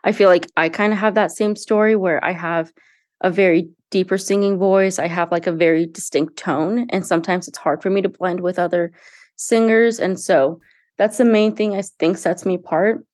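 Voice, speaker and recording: A woman's voice: fairly low pitch, steady tone, normal speed, darkish tone, dry recording, studio quality. A young woman, a singer-songwriter, talking earnestly and casually about her music in an interview, with frequent fillers and restarts. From United States. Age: young adult.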